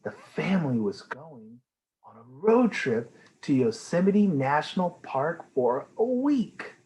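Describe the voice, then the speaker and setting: A man reading a storybook aloud for young children in an enthusiastic, expressive way. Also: on the high side, slow pace. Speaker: read-aloud reader for children.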